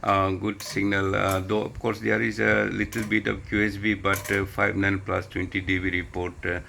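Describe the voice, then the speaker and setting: A man's voice: low-pitched, level tone, moderate pace, gravelly, pushed and bright. A man with an Indian accent speaking very hesitantly in broken phrases during an unscripted ham radio contact. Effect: ham radio transmission. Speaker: amateur radio operator asking questions. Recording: amateur radio link with light noise.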